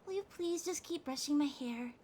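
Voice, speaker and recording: A woman voicing a needy, haughty but affectionate young cat girl in a soft, drowsy, pouty ASMR roleplay. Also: sits high, moderate pace, slight background noise, natural voice. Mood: indignant, sleepy. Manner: pouty, teasing, drowsy, speaking softly to a partner. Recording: steady rain sounds behind voice. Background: rain ambience.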